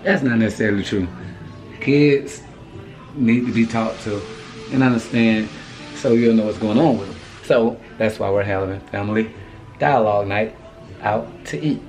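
A man in his thirties, hoarse from shouting, talking loosely to a handheld phone camera in his apartment and car, with lots of slang and "you feel me". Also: lowish voice, moderate pace, soft background music, rough recording. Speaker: family vlogger. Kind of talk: casual vlog talk to camera. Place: apartment hallway indoors, then parked car. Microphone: handheld phone camera at arm's length.

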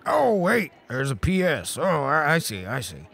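Blustering silly mayor, high bright voice, super theatrical. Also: male voice, wildly swooping pitch, unhurried, creaky, breathy edge, full character voice. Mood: dismayed. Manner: theatrical, exclamatory. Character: excitable, blustering, foolish small-town male mayor. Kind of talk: sketch comedy radio play.

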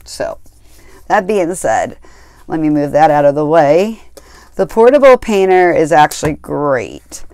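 A woman's voice: sits low, lively intonation, measured pace, a little mellow, dry recording. A woman hosting an art channel, casually reviewing her hiking painting supplies in a rambling way, correcting herself often with many ums. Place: indoor craft table.

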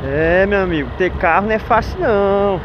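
High-voiced chatty motovlogger. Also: male voice, brisk. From Brazil. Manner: chatty, upbeat, joking. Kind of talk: casual vlog narration while riding. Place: outdoors on city streets by motorcycle. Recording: dull lo-fi with faint street noise.

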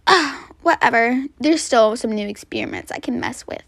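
A woman's voice: fairly high pitch, normal speed, fairly bright tone, no room sound, studio quality. A woman voicing a bratty, scornful young girl, the daughter of a kidnapper, in a roleplay.

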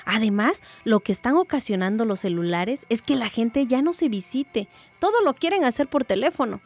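A slightly high voice in a radio drama. She is a village woman proud of her traditions, speaking firmly and with mild reproof. There is faint music behind her. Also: lively intonation, normal speed, a little mellow, breathy edge. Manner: firm, mildly reproving.

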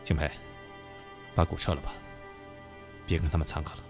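A worldly, insinuating senior businessman acted by a man speaks in a deep voice. He needles knowingly, in audio drama style, over clearly audible music. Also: level tone, moderate pace. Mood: needling, pressing. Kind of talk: Chinese audio drama acting.

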